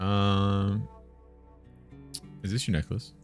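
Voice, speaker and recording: A man's voice: lowish voice, little pitch movement, slow pace. A man streaming a game, chatting casually and reading aloud, hesitant and muttering to himself. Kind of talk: casual live gameplay chat and read-aloud. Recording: guitar music behind the voice. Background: plucked guitar game music.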